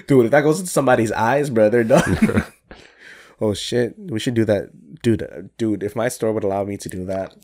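A young man co-hosting a casual chat, animated and jokey, swearing and laughing often. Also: lowish voice, moderate pace, background noise. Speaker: podcast co-host.

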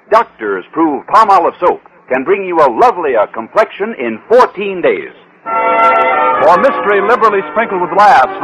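Lively vintage radio announcer man. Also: high voice. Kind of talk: 1940s scripted commercial announcing. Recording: noisy vintage radio broadcast.

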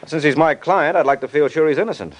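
An American actor playing a suave, unflappable private detective in an old radio drama, trading sardonic, breezy banter. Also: male voice, a little high, fast talker, lightly acted. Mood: breezy, needling. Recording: old radio recording, background noise.

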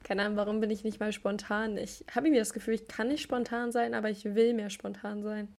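A woman's voice: mid-range voice, flat delivery, fast, vocal fry, a little mellow. A young woman hosting a podcast among friends, chatting casually with youth slang. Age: young adult. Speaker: podcast host. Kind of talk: casual chat.

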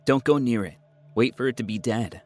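A man's voice: mid-range voice, normal speed, darkish tone, soft background music, lightly acted. A man voicing a cocky, grumbling young male hunter in an audio story, with taunting, whiny bravado. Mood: excited. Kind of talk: audio short story character acting.

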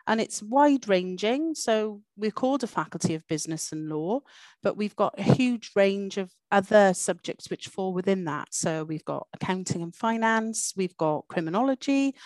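A middle-aged woman with a Welsh accent, answering interview questions as a university dean in a measured way with some hesitant fillers. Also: medium pitch, animated, darkish tone, no echo. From Wales.

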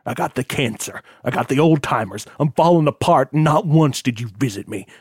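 A man's voice: medium pitch, lively intonation, moderate pace, husky, dry recording, studio quality. A man voicing a stern, bitter, ailing old rich father in an audiobook, scolding and folksy as he gives orders. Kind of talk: audiobook character acting.

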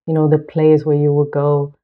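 A woman, talking as an interview guest in a relaxed, thoughtful way with soft laughs. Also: low voice, normal speed, phone-quality sound. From southern Chile. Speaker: guest, lighting designer. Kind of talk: casual interview talk. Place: home.

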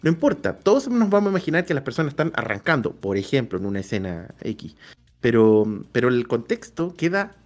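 A man's voice: mid-range voice, big pitch swings, brisk, darkish tone, faint music behind. A man with a Chilean Spanish accent, chatting casually as an interview host, colloquial and often correcting himself as he spells out examples.

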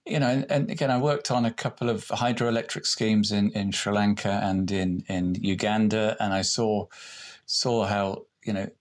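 50s guy, kinda low voice, keeps restarting sentences, um-ing through his answers. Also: steady tone, moderate pace. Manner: hesitant, many restarts and fillers. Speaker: renewable energy executive. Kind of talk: interview answers.

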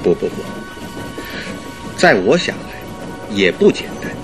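A man's voice: fairly low pitch, sing-song, slow pace, music in the background. A man in a Chinese radio drama playing a detective's earnest, puzzled companion with a hesitant, musing delivery.

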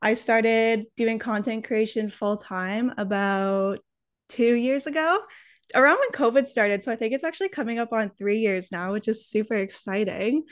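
A woman's voice: fairly high pitch, normal speed. A woman in her twenties, a guest on a podcast, talking in a bubbly way that is a little nervous. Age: 20s. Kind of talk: casual interview chat.

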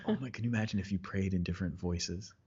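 A man's voice: sits low, quick pace, darkish tone, slightly rough, lo-fi sound. A man who preaches, co-hosting a podcast in casual chat, easygoing and chuckling, often restarting his sentences.

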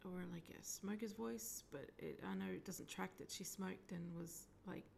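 A woman with a croaky voice, giving a hesitant psychic reading full of ums and whispered asides. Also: mid-range voice, fairly even intonation, normal speed, darkish tone, a little hiss or hum. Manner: hesitant, filler-heavy, drifting into whispered asides. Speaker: psychic medium. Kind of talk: solo psychic reading monologue.

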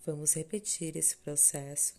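Slow, low-voiced Brazilian woman guiding meditation. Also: mellow. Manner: soothing, measured, with long pauses between short phrases. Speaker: meditation guide. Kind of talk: scripted guided meditation. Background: background music.

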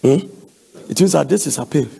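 A man with a Ghanaian accent preaching in a raspy voice, emphatic and repetitive, putting questions to his congregation. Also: fairly high pitch, animated, brisk, background noise. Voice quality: raspy, gravelly. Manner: emphatic, repetitive, conversational asides.

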